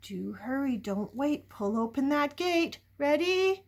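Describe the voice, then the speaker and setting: A woman's voice: high-pitched, big pitch swings, slow, gravelly. An older woman doing a playful, dramatic read-aloud of a picture book, putting questions to young listeners. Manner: dramatic, shifting between hushed whispers and loud exclamations. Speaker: children's story reader.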